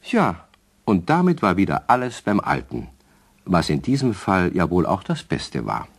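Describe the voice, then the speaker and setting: Storyteller for children's radio play: low, animated, husky, wry. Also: male voice, unhurried, exaggerated voice. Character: storyteller narrator of a children's tale. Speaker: narrator. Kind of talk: scripted children's story narration.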